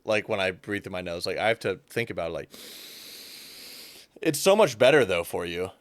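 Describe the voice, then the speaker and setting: A man's voice: low voice, normal speed, dry recording, studio quality. A young man, a fitness coach guest, chatting casually and animatedly, with frequent fillers. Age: young adult. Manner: animated, fills speech with "like". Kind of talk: casual chat.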